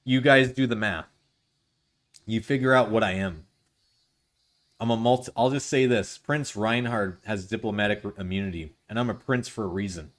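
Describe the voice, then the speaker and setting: A Canadian man streaming, reading a visual novel aloud and bantering with chat in an ironic, playful way. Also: lowish voice. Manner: ironic, playful, self-mocking.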